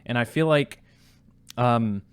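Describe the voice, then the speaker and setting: Casual mid-pitched man reviewing games. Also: slow, clear, resonant tone. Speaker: podcast co-host. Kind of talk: casual video game review discussion.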